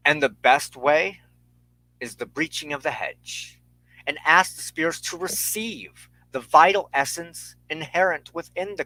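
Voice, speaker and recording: A man hosting a spiritual talk in a solemn, sermon-like style, speaking in measured, formal and ceremonious phrases. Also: on the high side, pushed and bright, low-quality recording. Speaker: host of a weekly spiritual talk show.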